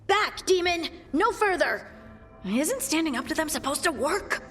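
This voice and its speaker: A plucky adventurer, reverberant voice. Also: female voice, sits high, lively intonation, unhurried, fairly bright tone, soft background music. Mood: alarmed. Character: plucky, caring woman adventurer. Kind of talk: video game voice acting. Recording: long hall-like reverb.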